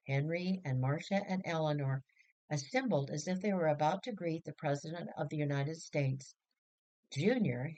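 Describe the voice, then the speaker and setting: A woman narrates a written story in a low-set voice. Her reading is measured and expressive, with clearly phrased sentences in an audiobook style. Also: low-quality recording. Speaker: audiobook reader.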